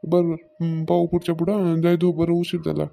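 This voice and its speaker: High-voiced grumbling village husband, acted by a man. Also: completely flat delivery, brisk, vocal fry, warm tone. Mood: matter-of-fact. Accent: rural Maharashtra Marathi. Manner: nagging, complaining. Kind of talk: Marathi comedy cartoon dialogue.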